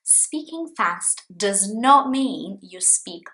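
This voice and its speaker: A woman from Brazil, a native Portuguese speaker with a Brazilian accent, teaching an English lesson in a slow, deliberate, explanatory manner. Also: mid-range voice, lively intonation, bright, forceful tone, roomy sound. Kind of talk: language lesson talking to viewers.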